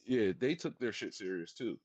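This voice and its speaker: A man's voice: on the low side, expressive, normal speed, darkish tone. Casual male guest, hesitant and laid-back, on a muffled mic. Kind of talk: casual livestream chat. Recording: dull lo-fi mic.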